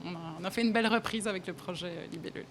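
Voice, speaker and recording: A woman's voice: medium pitch, rapid-fire, slight background noise. A young woman from Belgium, a café owner, talking casually in an interview with frequent hesitations and small laughs. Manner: hesitant, many fillers, laughs often. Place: café, indoors.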